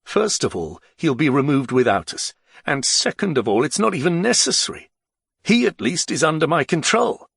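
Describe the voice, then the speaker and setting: A man's voice: fairly high pitch, sing-song, normal speed, creaky, a bit bright, husky, no room sound, voice pushed up, full character voice. A man reading an audiobook in the role of a weary, bitter but self-controlled nobleman with dry irony. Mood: cool, resigned.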